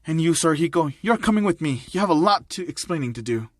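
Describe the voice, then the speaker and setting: A scolding old female school nurse, as a male narrator plays her. She is bossy and gives orders sharply, in a higher-set voice with a dry sound. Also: animated, fast, no room sound.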